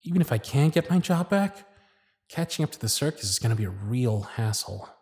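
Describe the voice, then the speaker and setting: A man acts out a lovestruck circus performer in an intimate audio roleplay. The voice is husky and breathy, recorded close and dry. The delivery is hesitant and earnest, nervously feeling its way through each thought. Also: medium pitch, very animated, fairly quick, a little mellow, no room sound. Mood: anxious, frustrated. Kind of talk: intimate ASMR roleplay acting.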